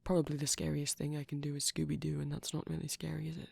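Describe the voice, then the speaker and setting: A man voicing a shy, gentle, nervous vampire boyfriend in an intimate ASMR roleplay, speaking softly and often whispering, hesitant and rambling, close to the microphone. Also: a little high, monotone, fast, no echo, heavily acted. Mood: gently chatty. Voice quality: soft, breathy, often whispering.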